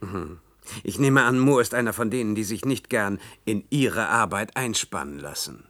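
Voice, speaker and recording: Radio drama: principled male academic, low voice, very dry acoustic, ironic and reproachful. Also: lively intonation, normal speed, fairly bright tone, dry recording. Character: principled, sardonic male university colleague. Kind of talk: German radio play acting.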